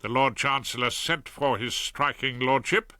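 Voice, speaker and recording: Older male audiobook narrator, wry and ironic, bright, dry studio sound. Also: mid-range voice, normal speed, dry recording.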